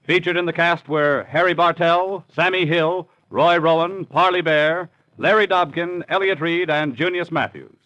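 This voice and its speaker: A man's voice: a little high, moderate pace, hardly any pauses, a bit bright. An American man announcing in polished, emphatic 1940s radio style, reading scripted sponsor copy and credits. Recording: dull old radio recording, faint music.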